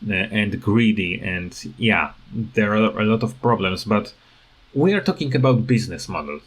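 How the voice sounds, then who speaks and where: A tired man who is not a native English speaker, giving a casual unscripted rant in a halting, rambling way that repeats words. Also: lowish voice, expressive, breathy edge. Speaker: gaming video creator.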